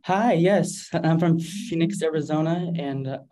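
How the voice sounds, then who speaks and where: A man's voice: a little high, measured pace, mellow. A Ukrainian-born man speaking as a guest on an interview show, plain and matter-of-fact.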